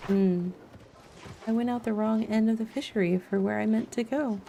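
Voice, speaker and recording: A woman's voice: a little high, steady tone, normal speed, gravelly, noisy background. A woman streamer giving casual live gameplay commentary, chatty and thinking aloud as she goes. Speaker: game streamer.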